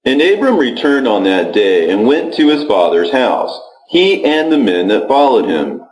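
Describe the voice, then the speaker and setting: Low-voiced man reading scripture, lively intonation. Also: echoey room, rough recording. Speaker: scripture narrator. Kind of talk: scripted read-along narration of an ancient scripture.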